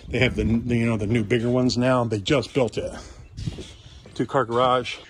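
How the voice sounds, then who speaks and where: A man's voice: mid-range voice, level tone, moderate pace, slight background noise. Folksy man touring a house. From Kentucky. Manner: chatty, folksy, salesy asides with restarts. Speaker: real estate agent touring a house. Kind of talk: casual walkthrough commentary. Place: empty house, indoors with some steps outside.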